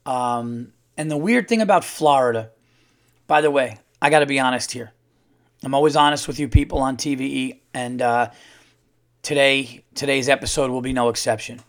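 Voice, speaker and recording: A middle-aged man, a comedian hosting his own podcast, chatting casually and rambling with lots of fillers, his voice a little hoarse from touring. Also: medium pitch, normal speed. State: slightly hoarse, losing his voice. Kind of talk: casual solo podcast monologue.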